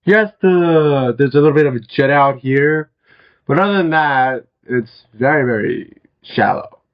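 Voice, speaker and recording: A young man with a hoarse, worn-out voice, chatting casually as he walks viewers through a house in a video game, with many fillers and throat clearings. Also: mid-range voice, unhurried, highs cut off. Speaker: gaming video host. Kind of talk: casual game walkthrough commentary.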